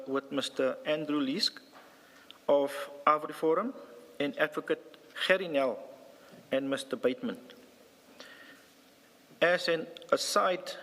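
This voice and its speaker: A man's voice: fairly high pitch, creaky, a bit bright. A South African man testifies formally as a police witness. His delivery halts and repeats at times, and the voice rings with the long reverb of a hearing room. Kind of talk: formal testimony, partly reading a statement aloud. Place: large indoor hearing room.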